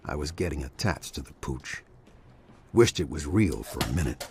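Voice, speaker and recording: A man's voice: lively intonation, moderate pace, slight background noise. An actor playing a defiant, brooding American ex-athlete hero who tells his story of revenge in terse, gritty game narration. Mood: brooding, reflective. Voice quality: very deep voice. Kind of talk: noir-like game cutscene narration and dialogue. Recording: loud music under the voice.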